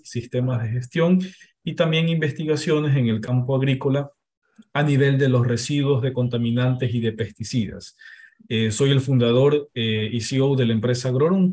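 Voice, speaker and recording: A man's voice: medium pitch, a little mellow. A man moderating a webinar over a video call, introducing himself and the guest in a polite, formal way with some fillers.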